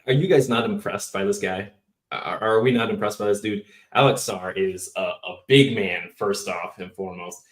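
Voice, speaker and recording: A man co-hosting a casual basketball podcast, excitable and leading the talk. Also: on the low side, normal speed, roomy sound, far from the mic. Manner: excitable, hype, leading the discussion. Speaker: podcast co-host. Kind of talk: casual sports podcast chat.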